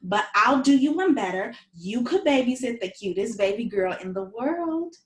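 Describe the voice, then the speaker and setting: Lady reading perky pushy young mom, high bouncy voice, roomy echo, script read. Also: expressive, moderate pace, natural voice. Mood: wheedling. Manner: perky, persuasive. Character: Tiffany, breezy, pushy young mother wheedling her friend. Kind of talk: screenplay table read. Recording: roomy, echoing sound.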